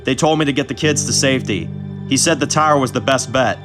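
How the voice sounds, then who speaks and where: A man voicing a calm, reassuring woman on watch, close to his own voice. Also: medium pitch, fast talker, music in the background, lightly acted. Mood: worried. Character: steady woman keeping watch on a guard tower.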